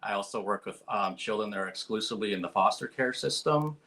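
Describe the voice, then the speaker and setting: A man's voice: on the low side, lively intonation, fast talker, pushed and bright. A man interviewing a guest, speaking earnestly and chattily with plenty of filler words. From United States. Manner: earnest, talkative, many ums and likes. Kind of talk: casual interview over video call.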